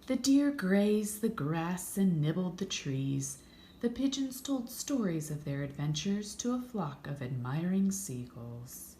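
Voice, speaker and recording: A woman reading a children's story aloud with expressive, dramatic narration and drawn-out emphasis. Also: lowish voice. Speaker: storybook reader. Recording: echoey room, faint background noise.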